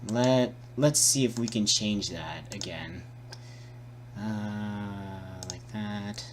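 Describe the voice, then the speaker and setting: Slow, hesitant man giving casual tutorial. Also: on the low side, slight background noise. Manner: hesitant, muttering, repeating words while working. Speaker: tutorial presenter. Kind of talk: casual improvised software tutorial.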